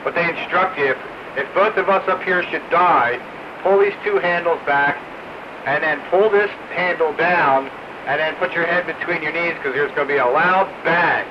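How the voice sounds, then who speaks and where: A man with a fairly high voice chats casually over a dull radio connection. Noticeable static runs behind his talk, as on an operators' round table. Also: normal speed. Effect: two-way radio transmission. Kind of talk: casual chat. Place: radio transmission picked up by a receiver. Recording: muffled radio reception with clear static noise.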